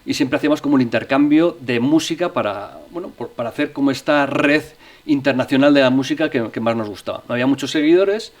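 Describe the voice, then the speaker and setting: A middle-aged man from northern Spain, a musician talking about his career as a guest. His voice sits at an ordinary pitch, and he tells his stories in a loose, hesitant way, often stopping to restart his sentences. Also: quick pace. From Navarre, Spain. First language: Spanish. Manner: hesitant, with frequent restarts and fillers. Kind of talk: relaxed interview storytelling.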